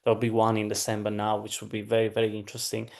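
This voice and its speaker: A man's voice: on the low side, monotone, very fast, creaky. A man co-hosting an online webinar, informally introducing a guest speaker.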